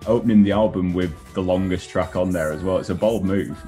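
A young man in his twenties interviewing a musician on a video call with a headset, in a relaxed, laid-back way. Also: low voice, brisk, faint music behind. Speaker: interviewer, show host. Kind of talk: casual interview questions.

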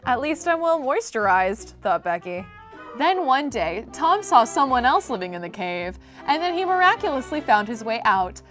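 A young American woman with a fairly high voice narrates like a storybook reader gone cheeky. She is sardonic and irreverent and happy to swear, with light music behind her. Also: sing-song, moderate pace, a bit bright. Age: 20s. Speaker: host reading stories.

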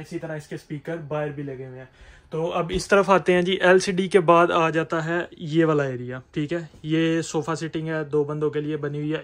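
A man's voice: on the high side, fairly bright tone. A young man giving a casual, explanatory walk-through tour as a vlogger. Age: young adult. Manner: explanatory, talking while walking around. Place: outside by a camper, then inside its small interior. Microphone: phone at arm's length. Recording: handheld recording with clear background noise.

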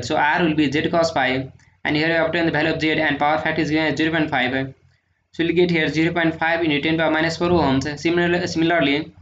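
A man with a slightly raised voice explains engineering problems in a steady, methodical way, filling pauses with "uh". He is heard in a noticeably echoey room. The sound is dull and lo-fi, with a faint hiss underneath. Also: a little high. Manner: methodical, steady, frequent "uh" and "sir". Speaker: engineering lecturer. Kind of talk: solving numericals on handwritten notes. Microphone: voice-over on screen recording.

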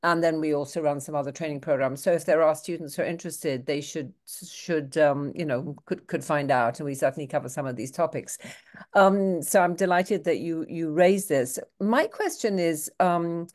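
An older woman on a video call from her home study, asking a question at a seminar in brisk, quick bursts. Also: sits low, darkish tone. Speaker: program director asking a question.

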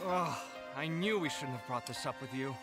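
A man playing a teasing, exasperated young man in a fantasy game trailer, expressive and grumbling. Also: medium pitch, normal speed, close to an everyday voice. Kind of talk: game character trailer acting. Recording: loud background music.

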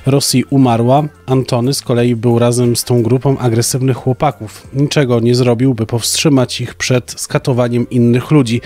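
A man's voice: medium pitch, a little mellow. A young man co-hosting a podcast with scripted book recommendations. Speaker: podcast co-host.

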